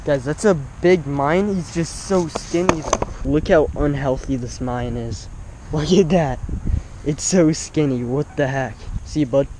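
A young man fishing outdoors beside a pond, talking straight into a wearable action camera. His voice sits a little high, and he breaks into excited exclamations whenever a fish bites. A faint hiss runs under the outdoor recording. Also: unhurried. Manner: excitable, bursts into exclamations on each catch. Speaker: fishing vlogger. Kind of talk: live running commentary while fishing.

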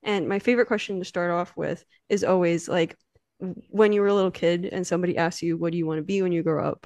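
A woman's voice: fairly low pitch, fairly even intonation, quick pace, a little mellow, dry recording. Bubbly young American woman host. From United States. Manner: bubbly and giggly, with many likes and ums. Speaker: podcast host. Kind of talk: casual interview chat. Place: indoor studio on a sofa. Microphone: handheld dynamic mic on a boom arm.

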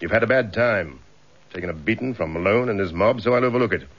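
Casting: suspicious, rule-bound British superintendent, low voice, curt radio drama style, slightly dull recording. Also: male voice, expressive, quick pace. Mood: stern, disapproving. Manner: stern, sardonic, curt. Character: gruff, suspicious, by-the-book police superintendent, older superior.

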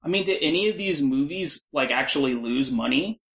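A man's voice: on the high side, expressive, fairly quick, a bit bright. A man chatting as a guest in a casual podcast discussion.

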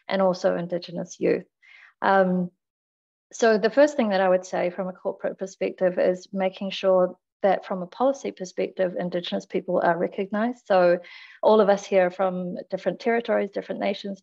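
Creaky-voiced New Zealand woman. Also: on the low side, a little mellow. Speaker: corporate human rights lead, panelist. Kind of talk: panel presentation. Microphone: headset on a video call. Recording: slightly dull video-call audio.

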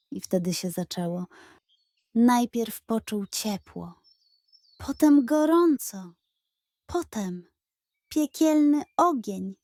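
Woman reading a kids bedtime tale, really slow, mellow highish voice, super dry sound. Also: dry recording. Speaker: children's story narrator. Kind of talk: expressive scripted storytelling.

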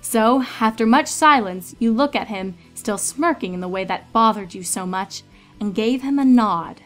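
A woman's voice: fairly high pitch, moderate pace, soft background music. A woman narrator reading a story aloud in a scripted, dramatic storytelling style.

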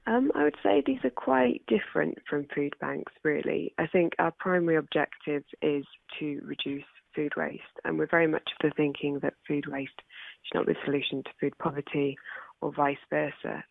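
Woman, British accent, radio interviewee, gravelly creak, dry narrow telephone sound, measured explanation. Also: sits low, no room sound. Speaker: spokesperson for an environmental charity, interviewee. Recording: narrow phone-like line.

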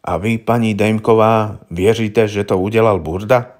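Polite, coaxing police investigator, low-voiced man reading. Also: fairly even intonation, a little mellow, natural voice. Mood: curious. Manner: deferential, coaxing, colloquial questioning. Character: polite, candid, inquisitive male police investigator. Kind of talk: audiobook character voice.

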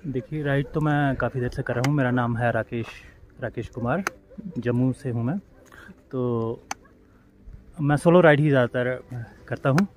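A middle-aged man answering interview questions outdoors, speaking hesitantly with many pauses. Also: medium pitch, noisy background. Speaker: solo motorbike rider, guest. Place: outdoors on a hillside. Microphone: vlogger's phone camera nearby.

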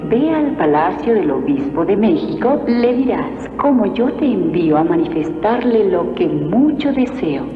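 A woman voices a gentle heavenly mother in an animated film. She is solemn and measured, in a medium-pitched voice drenched in echo over clear music, on a dull old soundtrack. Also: natural voice.

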